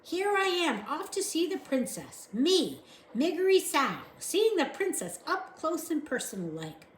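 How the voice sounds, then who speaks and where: A woman voicing a loud, simple twelve-year-old servant girl who is nearly deaf and talks in a rustic way, recorded in an echoey room. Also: sits high, sing-song, a little slow, slight background noise, voice pushed up. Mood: excited.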